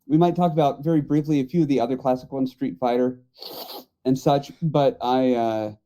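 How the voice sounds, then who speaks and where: Mellow voiced guy on a podcast, medium pitch, wry and jokey, just chatting. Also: steady tone, normal speed. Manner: wry, joking. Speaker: podcast panelist. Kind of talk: casual chat.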